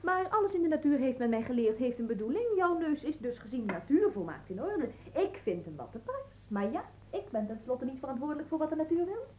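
A high-voiced, imperious young lady of noble birth in a comic radio play. Her melody leaps about theatrically as she teases and demands, haughty and easily wounded. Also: sing-song, a little fast, few pauses, darkish tone, a little hiss or hum. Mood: mocking, coquettish. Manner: theatrical, haughty, swooping between teasing and wailing. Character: capricious, oversensitive young noblewoman, teasing and imperious.

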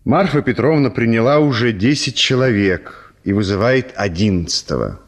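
A man narrating a classic comic story for a radio play in a measured, theatrical storytelling manner. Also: medium pitch, animated.

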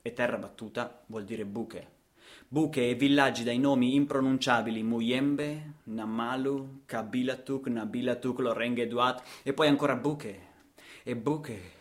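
Male, actor as impassioned traveller-storyteller for radio drama; mid-pitched, theatrical delivery with insistent, swinging dynamics. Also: unhurried, natural voice. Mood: measured, descriptive. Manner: theatrical, insistent repetitions, swings from hushed to shouting. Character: impassioned male traveller recounting a trip across the savanna.

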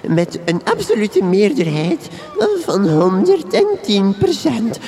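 A woman playing an awkward, halting village official with a Flemish accent, making announcements in a comic radio drama. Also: medium pitch, big pitch swings, dark tone. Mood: flustered. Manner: halting, flustered. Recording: crowd noise behind the voice.

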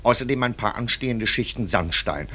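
Fussy, halting male professor. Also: medium pitch, pushed and bright, breathy edge. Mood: pedantic, proud. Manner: pedantic, halting, hemming. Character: pedantic, fussy male professor proud of dry facts. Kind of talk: German radio play acting.

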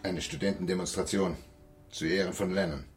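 A man's voice: deep voice, steady tone, fairly quick, lightly acted. Man as a dry, needling militia officer. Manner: dry, teasing. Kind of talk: noir radio drama acting.

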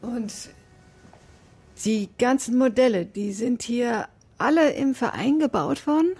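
Husky-voiced inquisitive woman sleuth. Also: fairly high pitch, unhurried, darkish tone. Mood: polite, probing. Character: inquisitive woman amateur sleuth, composed and probing. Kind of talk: naturalistic radio drama acting.

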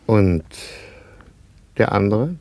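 An actor playing a wry street flower seller in a German radio play, speaking in a slow, ponderous, deliberate way. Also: male voice, on the low side, very animated, a little mellow, dry recording, full character voice.

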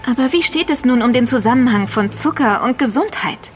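A woman's voice: fairly high pitch, animated, fairly quick, soft background music, lightly acted. A woman playing a sceptical, alert investigator in a German audio drama, with a questioning, critical manner. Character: sceptical, alert female member of investigative team.

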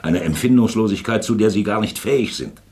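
An actor playing a shrewd, patient magistrate in a radio play, speaking deliberately and probingly with a fatherly air. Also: fairly low pitch, darkish tone, lightly acted. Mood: probing, ironic. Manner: deliberate, probing, pausing for weight. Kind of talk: German radio play acting.

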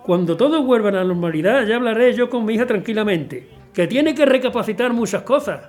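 A man's voice: high voice, animated, fairly quick. An older actor playing a stern, bitter father-in-law in a radio drama, curt and scolding.

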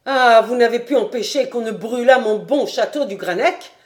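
Woman narrator voicing an enraged warlord baron, loud and proud, book reading. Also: on the low side, moderate pace, roomy sound, lightly acted. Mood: furious. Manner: loud, shouting in rage.

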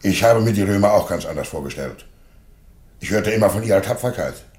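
An actor playing a mild, bookish Germanic warrior in a radio play, polite and matter-of-fact. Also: male voice, lowish voice, animated, brisk, a little mellow, natural voice. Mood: genial, curious. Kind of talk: theatrical radio play dialogue.

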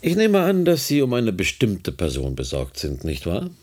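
A man's voice: lowish voice, wildly swooping pitch, moderate pace, darkish tone. An actor playing a suave, condescending male research director in a German radio drama, with smug emphasis. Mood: smug, placating.

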